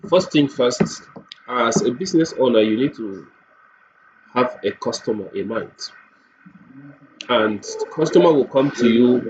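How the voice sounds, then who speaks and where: A man giving an unscripted screen-share software tutorial, explaining step by step and repeating points for emphasis. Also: medium pitch. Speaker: software demo presenter. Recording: muffled lo-fi audio, clear background noise.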